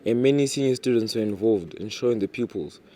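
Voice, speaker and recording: A man's voice: fairly low pitch, fast, heavy background noise. A man presenting a school newsreel in scripted narration.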